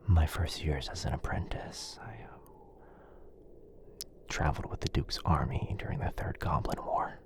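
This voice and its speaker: Man playing a hushed, low-voiced gentle wizard healer. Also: steady tone, in a whisper. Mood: subdued, reflective. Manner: hesitant, murmuring, soothing, pausing often. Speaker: ASMR roleplay performer. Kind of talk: boyfriend ASMR roleplay, soft and intimate.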